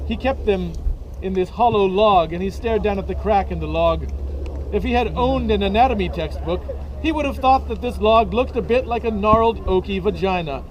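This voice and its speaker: Male reader, deadpan storybook narration of a comic tale, light high voice, recorded outdoors. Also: normal speed, dull audio, slight background noise. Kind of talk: comic dramatic reading aloud. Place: outdoors at an event.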